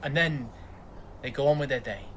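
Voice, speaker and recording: An actor playing a weary, awkward young father who is quietly grieving, in naturalistic audio drama. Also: medium pitch, moderate pace, slight background noise, close to an everyday voice. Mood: quietly bitter.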